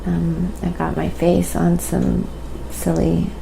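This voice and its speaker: A soft-spoken woman chatting casually and unhurriedly on a livestream, narrating her ASMR painting and thanking viewers. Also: fairly low pitch, completely flat delivery, takes it slowly, gravelly, background noise. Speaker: live streamer, painting artist.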